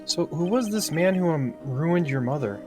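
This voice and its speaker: A man's voice: a little high, animated, normal speed, over music, close to an everyday voice. A man playing a young defense lawyer, earnest, unsure and sympathetic, in an amateur game voice-over. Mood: concerned, curious.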